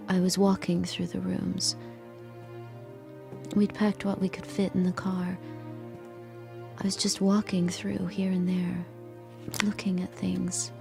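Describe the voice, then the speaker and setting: A young mother recalling her flight, speaking quietly and regretfully in reflective first person. Her voice has a gravelly fry at the edges, and music plays clearly behind. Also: on the low side. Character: grieving young mother fleeing with husband and daughter. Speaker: narrator and protagonist.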